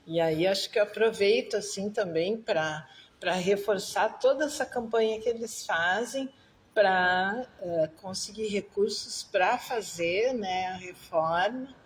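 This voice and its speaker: A woman lecturer speaking off the cuff as a guest, halting and often adding tag questions, in a thank-you speech. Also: mid-range voice, a little hiss or hum.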